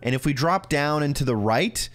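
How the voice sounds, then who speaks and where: A man's voice: a little high, expressive, moderate pace, fairly bright tone. Casual man narrating a game guide. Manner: informal, instructional, addresses viewer directly. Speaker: video game guide creator.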